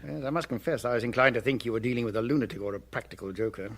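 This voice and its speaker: An actor with a British accent playing an affable, brisk senior police officer in a radio drama. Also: male voice, mid-range voice, a bit bright. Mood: affably brisk. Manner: brisk, conversational authority. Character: affable, breezy male senior police superior.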